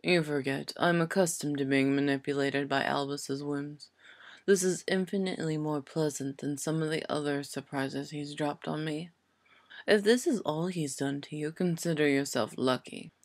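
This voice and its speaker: A woman reading an audiobook story and voicing a sardonic male wizard, deadpan and coldly unmoved, with a muttering, pointed delivery. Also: sits low, moderate pace, no echo. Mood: bland, sardonic. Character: sardonic, bland, sneering adult male wizard.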